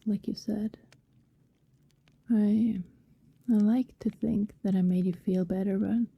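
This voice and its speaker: A woman playing an affectionate, wistful vampire neighbour in an intimate ASMR roleplay, speaking softly and tenderly, often in a hesitant whisper. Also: medium pitch, no room sound, dull audio, exaggerated voice. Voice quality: soft, breathy, often whispered. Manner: slow, tender, hesitant murmuring.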